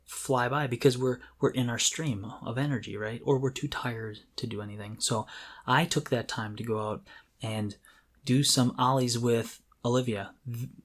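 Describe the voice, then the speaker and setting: A man's voice: mid-range voice, fairly even intonation, moderate pace. A man co-hosting a podcast in casual conversation, speaking in a candid, self-deprecating way. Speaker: podcast co-host.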